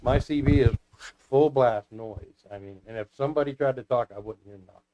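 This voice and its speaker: Man, mid-pitched voice, folksy rambling storyteller, casual hobby chat, slightly muffled lo-fi recording. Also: fairly quick, dark tone, slight background noise. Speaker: guest, CB radio hobbyist. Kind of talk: casual hobby talk with anecdotes.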